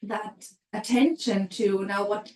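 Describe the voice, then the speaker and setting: A woman's voice: mid-range voice, fairly even intonation, slow, a little mellow, echoey room, recorded from a distance. A middle-aged woman from Germany with a German accent, presenting an HR webinar in a conversational way, with many fillers.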